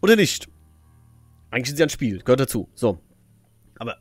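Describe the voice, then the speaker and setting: A man's voice: mid-range voice, very animated, fairly quick, no room sound, faint music behind. A man giving casual, informal let's play commentary, joking and muttering to himself as he plays. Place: voice-over recorded apart from the game, game music behind.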